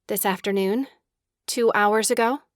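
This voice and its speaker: A woman playing a gentle, timid, earnest young daughter in a period audiobook drama, speaking softly and sincerely. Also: mid-range voice, fairly even intonation, unhurried, dry recording, clean studio sound, natural voice. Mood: shy, earnest. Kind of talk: full-cast audiobook period drama acting.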